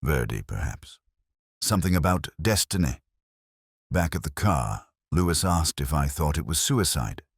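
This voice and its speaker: A weary, cultured police inspector played by a man narrates a detective drama in an extremely low, husky voice. He is brooding and measured, with literary phrasing. The sound is close and dry. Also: no room sound, heavily acted. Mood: melancholy, pensive. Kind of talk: scripted first-person detective drama narration.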